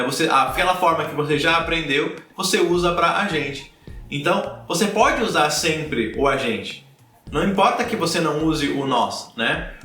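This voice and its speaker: A Brazilian man with a Brazilian Portuguese accent explains grammar in a relaxed, chatty lesson. He often repeats himself and checks in with quick tag questions. His voice is bright and slightly high, and it rings in an echoey room. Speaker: language teacher. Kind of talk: casual explanatory lesson to learners.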